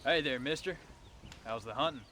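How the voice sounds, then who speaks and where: An actor playing a polite, determined young American cowboy in a game, speaking plainly. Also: male voice, medium pitch, normal speed, sharp tone, a little hiss or hum, close to an everyday voice. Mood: polite, purposeful. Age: young adult. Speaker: video game character. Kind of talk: game cutscene dialogue.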